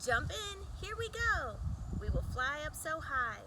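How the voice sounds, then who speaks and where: Female children's storybook reader, high-pitched, unhurried, emphatic rhyming delivery with deliberate pauses. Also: big pitch swings, background noise. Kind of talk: expressive read-aloud of a rhyming picture book for children.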